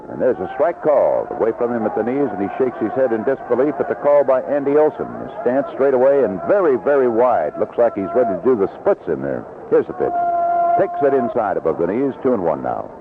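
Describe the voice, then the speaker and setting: A man's voice: medium pitch, very animated, fairly quick. Tinny old-radio baseball play-by-play man. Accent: North American. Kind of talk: live radio play-by-play commentary. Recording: tinny, noisy vintage AM radio broadcast.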